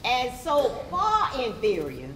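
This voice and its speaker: Slow, dramatic woman reading on stage. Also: fairly high pitch, huge pitch swings, crowd noise. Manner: measured, emphatic. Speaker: stage reader. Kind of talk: scripted theatrical narration in readers theatre. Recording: echoey room, distant mic, audience responses.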